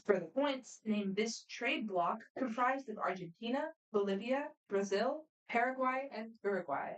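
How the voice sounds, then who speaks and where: A woman moderating a student quiz competition, reading questions aloud in a brisk, friendly, matter-of-fact way. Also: on the high side. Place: competition room. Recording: voice picked up from a distance.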